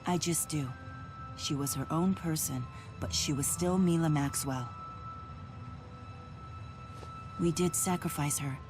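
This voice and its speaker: A resolute spirit woman played by an actress with a low, grave voice. She speaks formally and earnestly, in measured anime game dialogue, while music plays underneath. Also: natural voice.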